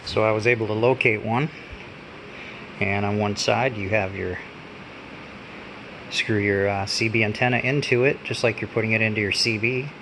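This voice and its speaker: A man giving a casual, unscripted hands-on gadget demonstration, halting and pausing as he handles things. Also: fairly low pitch. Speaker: product reviewer. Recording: steady background hum and noise.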